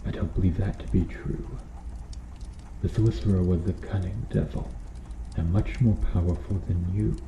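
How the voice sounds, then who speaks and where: A man playing a stern medieval knight in an ASMR roleplay, questioning formally and earnestly with dramatic pauses. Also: low voice, steady tone, warm tone, reverberant, dull audio, a little hiss or hum. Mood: solemn, insistent. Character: stern medieval knight interrogating an accused noble witch.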